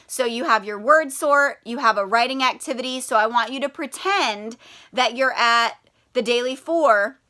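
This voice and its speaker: A woman's voice: fairly high pitch, moderate pace, bright, forceful tone. A woman who teaches primary school, reading aloud and talking directly to children with prompting questions.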